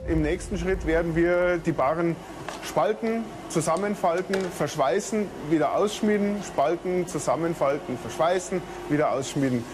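A man explaining a practical craft step by step, in short halting phrases. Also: a little high. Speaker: smith demonstrating his craft. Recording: clearly noisy location sound.

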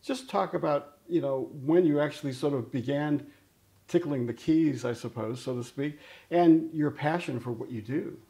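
A man's voice: a little high, normal speed, dark tone. A middle-aged man hosting a studio interview, with a polished, scripted introduction. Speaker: host. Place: indoor studio with framed awards.

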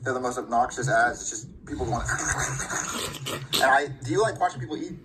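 A young man vlogging on a phone held at arm's length in a bedroom, blunt and excitable, swearing casually. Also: medium pitch, normal speed, background noise. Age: young adult. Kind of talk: casual handheld vlog. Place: indoor bedroom.

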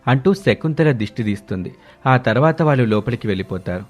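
A man's voice: mid-range voice, expressive, fairly quick. A man narrating a scripted story as its narrator. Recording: music bed under the voice.

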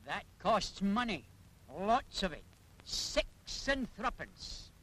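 A knowing Scottish man lectures in a high, swooping voice. He explains things slowly and emphatically, in the manner of a 1940s public information film. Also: big pitch swings, bright, forceful tone, heavily acted. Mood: grave, emphatic. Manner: didactic, emphatic, theatrical.

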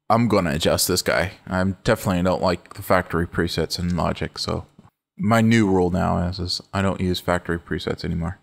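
A middle-aged man with a low voice talks through his production steps in an easygoing, rambling way. He trips over his words and restarts often, laughing easily as he goes. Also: vocal fry. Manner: relaxed, rambling, self-correcting, laughs easily. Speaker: music production tutorial host. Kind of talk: casual screen-recorded walkthrough.

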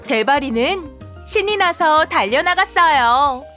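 High-pitched, lilting woman telling a children's story. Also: takes it slowly. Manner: animated, expressive, gentle storytelling endings. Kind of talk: scripted storybook narration for young children. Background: children's background music.

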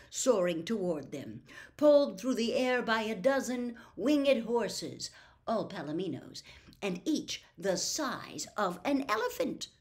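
An older woman in her sixties or beyond reading a storybook aloud with expressive, dramatic phrasing. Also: medium pitch. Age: 60s or older. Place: small book-lined room at home.

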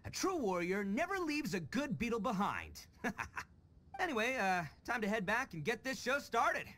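Brash, wildly animated young oni brawler. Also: male voice, sits high, normal speed, heavily acted. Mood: excited. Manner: boisterous, dramatic, stammering bravado. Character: brash, boastful young male oni brawler, goofy and big-hearted. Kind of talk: English video game dub acting.

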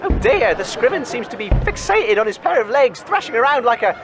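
A man's voice: extremely high pitch, sing-song, brisk, over music, exaggerated voice. A man playing a flustered, hesitant wasteland radio reporter giving live commentary in a comedy radio drama. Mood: alarmed. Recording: noisy crowd ambience behind.

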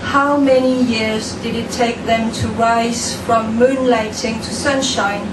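A woman raised in France reads her poem slowly and deliberately in a dim room. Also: a little high, steady tone. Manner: slow, deliberate, measured reading. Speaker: poet. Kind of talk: poetry reading. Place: dim room with a lamp, indoors. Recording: echoey room, distant mic, background noise.

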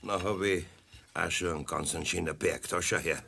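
An actor playing a kindly, patient older master carpenter with a Bavarian accent in a children's radio play, homely and a little halting. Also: male voice, deep voice, fairly even intonation, creaky, noisy background. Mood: evasive, embarrassed. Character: kindly, patient old master carpenter, flustered but gentle.

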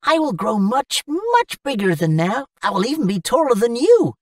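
Woman voicing a sweet, highish cartoon little boy. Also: sing-song, moderate pace, no echo, clean studio sound, far from the mic. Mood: affectionate. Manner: childlike, affectionate. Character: sweet, eager little boy who adores his mother. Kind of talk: English-language cartoon acting.

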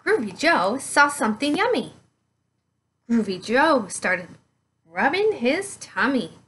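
A woman in her thirties reading a picture book aloud to children, with expressive, deliberate, emphatic phrasing. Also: high-pitched, slight background noise. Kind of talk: children's read-aloud storytelling.

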